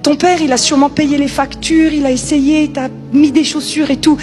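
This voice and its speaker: High-voiced woman preaching informally, music behind. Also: little pitch movement, moderate pace. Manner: conversational, emphatic, repeats key phrases. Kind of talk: informal sermon.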